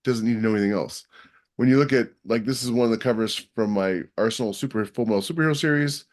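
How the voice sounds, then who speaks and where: A man's voice: mid-range voice, fast, darkish tone. A man co-hosting a podcast, explaining his points in an emphatic, assertive way. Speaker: podcast co-host. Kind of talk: casual podcast chat.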